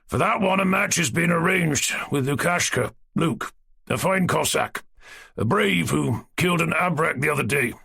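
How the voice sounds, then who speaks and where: A man reading aloud voices a loud, teasing old Cossack man who speaks emphatically. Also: fairly high pitch, normal speed, creaky, breathy edge, voice pushed up, exaggerated voice. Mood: boisterous.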